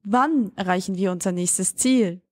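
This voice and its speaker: A woman's voice: medium pitch, measured pace, a little mellow, no room sound, close to an everyday voice. Woman acting a spaceship commander, close and dry. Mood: businesslike. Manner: brief commanding questions. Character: female spaceship commander giving orders to her computer. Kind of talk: science fiction audio drama.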